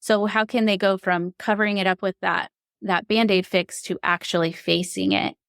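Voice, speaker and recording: Smooth-voiced affirming woman host. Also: mid-range voice, fairly even intonation, normal speed, fairly bright tone, dry recording, clean studio sound. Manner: affirming, empathetic, asks open questions. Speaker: podcast host. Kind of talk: conversational interview.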